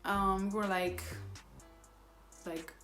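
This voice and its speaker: A woman's voice: mid-range voice, flat delivery, very slow, fairly bright tone. Exasperated young woman telling a story. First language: Somali. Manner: rambling, exasperated retelling with self-corrections. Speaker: vlogger. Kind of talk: casual storytime. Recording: roomy echo with faint background music.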